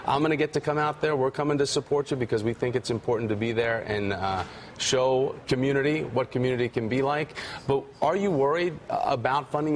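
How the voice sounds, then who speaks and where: A man's voice: mid-range voice, a little hiss or hum. Man anchoring a TV news interview. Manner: friendly, conversational questioning. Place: TV news studio.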